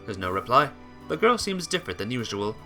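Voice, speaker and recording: A man narrates a written tale in a bright, clear-cut voice. He reads in storybook fashion, now and then tripping on a word. Faint instrumental music plays behind him. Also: medium pitch, sing-song, a little fast. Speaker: narrator reading a visual novel aloud. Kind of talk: scripted story narration with acted dialogue.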